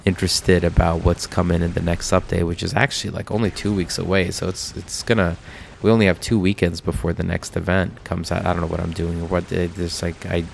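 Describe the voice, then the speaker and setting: A man with a very low voice and plenty of gravelly fry talks casually through a mobile game session. His nose sounds stuffed up from a cold, and he rambles with many filler words. A faint background noise sits under his voice. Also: flat delivery, fairly quick. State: nasal from a cold. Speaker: mobile game video host. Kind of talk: casual gameplay commentary.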